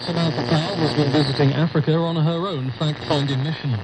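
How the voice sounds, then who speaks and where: A man's voice: a little high, steady tone, fairly quick. A man reading a scripted radio news bulletin as the newsreader. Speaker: news reader. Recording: very noisy shortwave radio broadcast.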